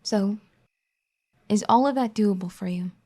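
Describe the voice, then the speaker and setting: A caring young wife, firm and a little bossy, as a woman acts her out. Her voice is mellow and dark, soft-spoken and gently scolding, in an ASMR roleplay style. She talks at an ordinary middle pitch. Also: measured pace.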